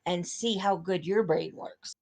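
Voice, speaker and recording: A woman's voice: lowish voice, a little slow, darkish tone. A woman co-hosting a livestream show, bantering casually on an online group call. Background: music.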